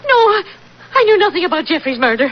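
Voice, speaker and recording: A distraught, pleading widow in a 1940s radio melodrama. Her voice is high and full of swoops. The old recording carries faint noise and sounds dull. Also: sing-song, fast. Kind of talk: 1940s radio drama acting.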